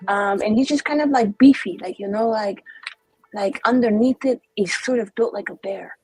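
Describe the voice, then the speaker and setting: A woman's voice: medium pitch, moderate pace, darkish tone, slightly rough, no room sound, poor audio. A woman player in a tabletop game, chatting in a rambling, casual way.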